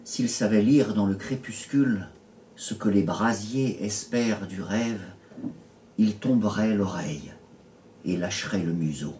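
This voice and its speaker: A man reading his poetry in a literary style, measured and pausing between short phrases. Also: lowish voice, mellow. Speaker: poet reading own poems. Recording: roomy echo, distant mic, faint noise.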